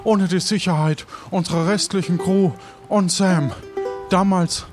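High male voice, man acting as pirate ship's cook, theatrical, halting dramatic phrasing, adventure radio play with music. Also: steady tone, darkish tone. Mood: solemn, dramatic. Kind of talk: improvised audio adventure storytelling and acting.

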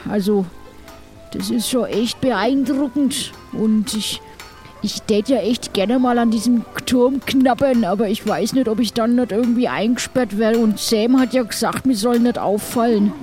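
Female player for an improvised adventure audio play, fairly high voice, casual and chatty, soft music behind.

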